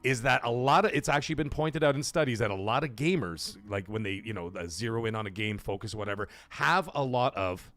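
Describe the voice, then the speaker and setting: A man hosting a casual livestream, loudly thanking chat supporters between serious talk. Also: medium pitch, brisk, hardly any pauses, no room sound, soft background music. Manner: hyped, shouts out chat supporters. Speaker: livestream host.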